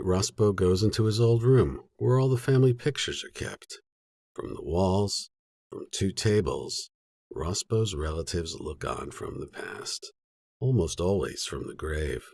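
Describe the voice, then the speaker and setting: A man narrates literary fiction in a low voice with a husky grain. His delivery is measured and sober, yet his pitch moves in a lively way through the sentences. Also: dry recording, studio quality. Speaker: audiobook narrator.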